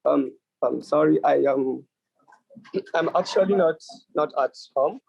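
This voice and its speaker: A man speaking haltingly and off the cuff, repeating words as he goes, as a guest poet on an online call. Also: on the high side, creaky, a little mellow, slight background noise. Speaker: contributing poet. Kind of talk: unscripted answer at an online literary event. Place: at work, on a weak internet connection. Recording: muffled, lo-fi internet call, dry.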